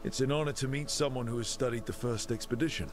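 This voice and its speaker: A man's voice: medium pitch, quick pace, noisy background, lightly acted. A man playing an easygoing, considerate warrior hero in a video game, talking in a conversational, inquisitive way. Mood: polite, earnest.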